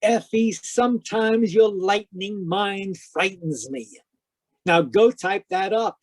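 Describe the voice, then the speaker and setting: An actor playing a wry, hard-boiled private detective in a 1940s radio style, with clipped, theatrical delivery. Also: male voice, sits high, slow, dry recording. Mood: wry, teasing.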